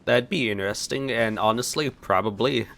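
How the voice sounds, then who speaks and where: A man's voice: medium pitch, normal speed, gravelly, a bit bright. A man giving casual, offhand let's play commentary on a video game in a laid-back manner. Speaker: gaming video creator.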